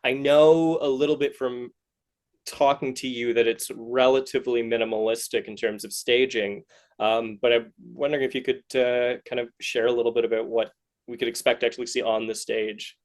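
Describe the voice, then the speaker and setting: A man hosting a casual interview podcast, speaking haltingly and circling back to rephrase his questions. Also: mid-range voice, fairly even intonation. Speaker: podcast host interviewing artists.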